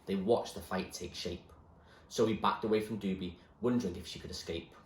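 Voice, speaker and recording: A man reading a novel aloud as expressive storybook narration, like a teacher reading to a class. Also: low voice, moderate pace, roomy sound, a little hiss or hum.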